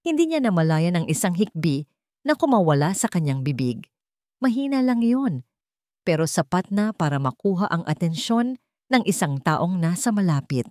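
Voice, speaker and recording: A woman's voice: on the low side, big pitch swings, moderate pace, warm tone, no echo, studio quality. A woman reading a scripted dramatic story as its narrator.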